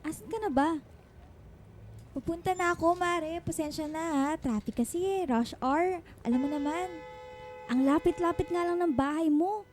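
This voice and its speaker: A chatty young woman friend in a radio drama. Her voice is very high and softly mellow. She banters playfully and teases in a colloquial way. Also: moderate pace, long pauses, a little hiss or hum. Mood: playful teasing.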